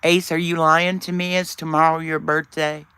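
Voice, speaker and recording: A man's voice: a little high, little pitch movement, moderate pace, bright, forceful tone, no echo. A man, chatting casually and teasingly with viewers on his live stream. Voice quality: raspy.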